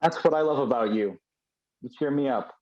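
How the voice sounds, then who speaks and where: A man's voice: mid-range voice, fairly quick, a little mellow, highs cut off, close to an everyday voice. A man playing a serene, reserved, devoted partner with understated delivery, in a live play reading over a video call. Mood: quiet affection.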